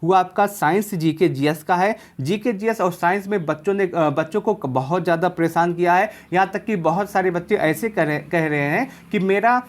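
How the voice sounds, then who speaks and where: A woman's voice: fairly low pitch, moderate pace, few pauses. A woman speaks as a coaching presenter. She addresses students informally, explaining step by step and going back over key points to stress them.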